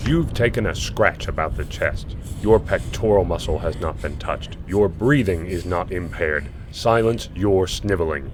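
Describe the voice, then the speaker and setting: A man's voice: deep voice, measured pace, hardly any pauses, background noise. A man playing a harsh, contemptuous alien military commander in a science fiction audio drama, stern and formally commanding. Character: harsh alien soldier commander, merciless, contemptuous drill instructor.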